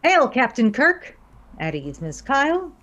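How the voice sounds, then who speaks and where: A woman's voice: high-pitched, very animated, a little slow, fairly bright tone, muffled recording, full character voice. A woman playing a coldly logical female first officer in a sci-fi fan audio drama, with clipped, formal, commanding delivery. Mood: cold, stern.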